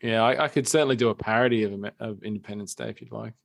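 An Australian man co-hosting a podcast, chatting casually with dry jokes and a halting delivery. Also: fairly low pitch, creaky. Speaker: podcast co-host. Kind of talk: casual chat.

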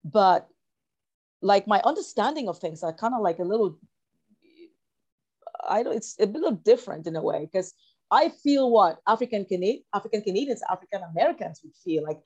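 A woman from Cameroon talking reflectively as an interview guest, halting and repeating phrases as she searches for her words. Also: medium pitch, animated, darkish tone, husky, muffled recording. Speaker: interview guest, writer and actor.

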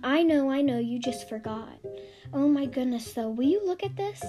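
A woman voicing a brisk, fussy and excitable mother of a young witch. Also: high voice, over music, natural voice. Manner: brisk, scolding then gushing.

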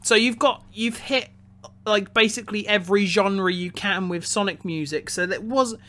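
A man co-hosting a podcast, talking casually about films in a halting way, often repeating words as he goes. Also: high voice, pushed and bright. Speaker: podcast co-host reviewing a film. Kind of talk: casual opinionated discussion.

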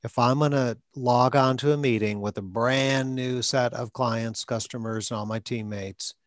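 A man's voice: medium pitch, fairly even intonation, moderate pace, dry recording. Man coaching in short phrases. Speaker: stuttering coach and podcast host. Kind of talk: conversational coaching talk.

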